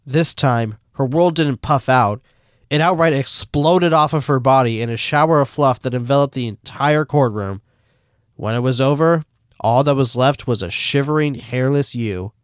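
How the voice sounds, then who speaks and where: A man's voice: medium pitch, moderate pace, clean tone, no room sound, clean studio sound. A man reading scripted story narration as the narrator. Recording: music behind the voice.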